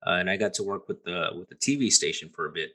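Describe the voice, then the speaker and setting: Guy hosting a podcast, lowish voice but bright, keeps repeating words. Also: lively intonation, fast talker. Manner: stammering, repeats words at starts. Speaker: podcast host. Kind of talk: casual podcast interview.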